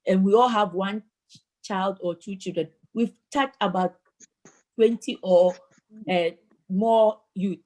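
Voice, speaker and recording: A woman's voice: medium pitch, a little mellow, low-quality recording. A woman from Ghana speaking earnestly in a community discussion over a video call, often repeating words as she starts her sentences. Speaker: participant moderating a community discussion. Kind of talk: community meeting talk.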